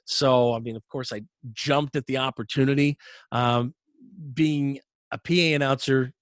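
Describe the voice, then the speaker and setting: A man's voice: medium pitch, steady tone, normal speed, no room sound. A middle-aged man, an arena announcer telling his career story as an interview guest in an upbeat, chatty way, often repeating words as he restarts. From Canada.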